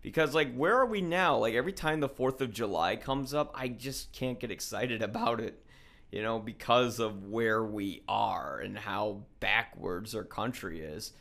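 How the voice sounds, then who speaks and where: Low-pitched middle-aged American man. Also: a little slow, vocal fry, fairly bright tone. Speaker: interviewer. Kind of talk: casual opinionated conversation.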